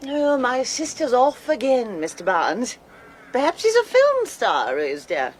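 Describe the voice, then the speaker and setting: An actress playing a sociable, teasing English spinster in a radio drama. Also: female voice, on the high side, wildly swooping pitch, measured pace, a little hiss or hum. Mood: teasing, wry.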